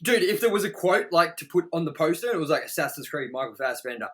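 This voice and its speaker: A man co-hosting a casual, comedic movie review, excitable and joking, with some swearing. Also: fairly high pitch, quick pace. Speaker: movie review show host.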